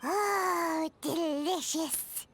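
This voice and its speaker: An actress voicing a small, chirpy, childlike fairy companion in an anime-style video game. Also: female voice, very slow, fairly bright tone, slight background noise, full character voice. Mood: excited. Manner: squeaky, exclaiming, childlike.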